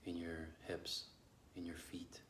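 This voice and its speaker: Low-voiced man guiding a meditation. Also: monotone. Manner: slow, gentle instruction with long pauses. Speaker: meditation teacher. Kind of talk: guided meditation. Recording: echoey room with faint background noise.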